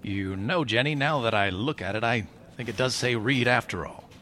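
An actor playing an earnest, steady police detective in an old-time radio mystery. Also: male voice, medium pitch, moderate pace, close to an everyday voice. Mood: puzzled.

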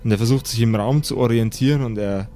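A man narrating an improvised tabletop horror adventure as game master, pausing as he builds each description. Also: mid-range voice, warm tone. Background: background music.

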